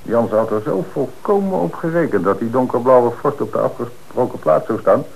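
An actor playing a calm, shrewd police commissioner who gives orders and explains the case in an old radio play. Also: male voice, medium pitch, normal speed, gravelly, husky, rough recording. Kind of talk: radio drama acting.

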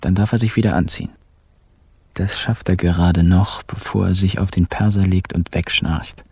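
An actor narrating a radio crime comedy as a wry, cheeky kidnapper, in a dry, colloquial way. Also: male voice, low voice, fairly even intonation, moderate pace, creaky, a little mellow, husky, dry recording, heavily acted. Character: wry, cheeky male kidnapper telling his own caper. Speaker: first-person narrator. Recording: music behind the voice.

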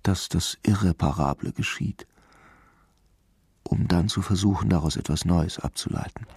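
An actor playing a brooding, intuitive police commissioner who muses slowly and repeats his phrases, in a radio crime drama. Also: low-pitched, a little mellow, husky, full character voice. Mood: brooding, musing. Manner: slow, musing, repeats his own phrases.

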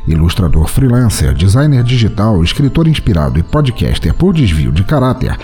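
A man with a Brazilian Portuguese accent, hosting a podcast and reading a short story aloud with theatrical, playful pauses. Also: fairly low pitch, very animated, mellow, soft background music. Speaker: podcast host and story reader.

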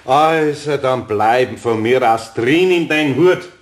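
An actor playing a good-natured older master carpenter with a Bavarian accent, fumbling for words and chuckling. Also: male voice, mid-range voice, takes it slowly, close to an everyday voice. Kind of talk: 1960s German radio play acting.

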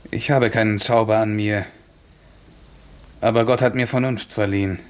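Man playing a low-voiced, composed serf barber. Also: fairly even intonation, natural voice. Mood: quietly defiant. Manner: restrained, polite, measured. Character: proud, composed young serf barber, outwardly deferential, quietly defiant. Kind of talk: 1950s German radio drama acting.

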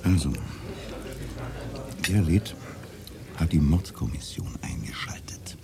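An actor playing a gruff, wry local man who acts as a reporter's minder, talking in dry, casual mutters and emphatic protests in a naturalistic radio drama. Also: rumbling low, lively intonation, takes it slowly, whispered, heavily acted. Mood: confiding.

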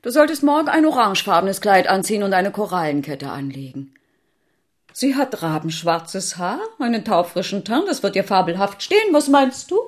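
An actress playing a flattering, scheming aristocratic court lady in a radio drama, with an effusive, insinuating manner. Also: mid-range voice, moderate pace. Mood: fussing.

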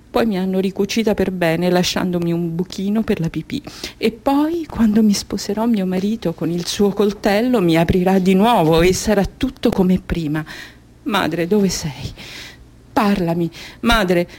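An actress playing an anguished Somali woman reliving childhood in a radio drama monologue, halting and repeating words like an incantation. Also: medium pitch, a little mellow. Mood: bitter, pleading.

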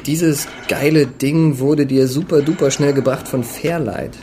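A man's voice: mid-range voice, fairly even intonation, measured pace, close to an everyday voice. A man playing a curious, casual computer user chatting in a radio play. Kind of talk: casual radio drama dialogue. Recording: noisy ambience around the voice.

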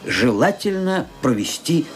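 Lively-toned, formal male doctor. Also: a little high, a little slow. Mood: grave, formal. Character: male family doctor, professional and reassuringly formal. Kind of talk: radio play acting. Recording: music behind the voice. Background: music.

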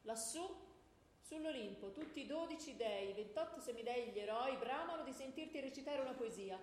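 A woman's voice: on the high side, animated, fairly quick, close to an everyday voice. A woman playing an Olympian deity, grand and curious, with a theatrical manner, in comic radio theatre. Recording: echoey stage with faint noise.

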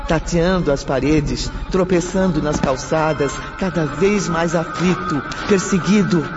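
A man narrates a radio drama in a grave, measured tone. His voice sits a little high, and music plays clearly beneath him. The recording sounds muffled.

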